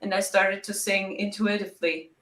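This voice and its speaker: A woman from Germany speaks English with a German accent, in simple, halting phrases. Her voice is bright and forward. She sounds like she is in an echoey room. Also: medium pitch, flat delivery. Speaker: guest. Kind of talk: interview answers.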